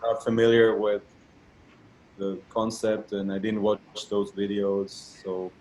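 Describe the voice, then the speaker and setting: A man presenting a webinar over a video call, relaxed and modest, his words trailing off softly. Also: lowish voice, a little slow, slight background noise, low-quality recording. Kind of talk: live webinar talk.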